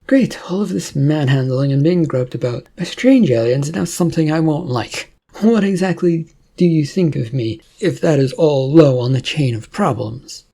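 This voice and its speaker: A man's voice: a little high, animated, normal speed, creaky, mellow. A man reading a fuming alien princess. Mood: indignant. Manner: snappy, indignant. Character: angry disowned alien princess, Tulis.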